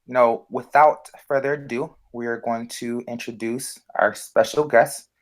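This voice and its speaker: A man's voice: medium pitch, fairly even intonation, measured pace. A man co-hosting a casual discussion show, talking with frequent ums and restarts. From United States. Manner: hesitant, many fillers and restarts. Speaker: podcast co-host.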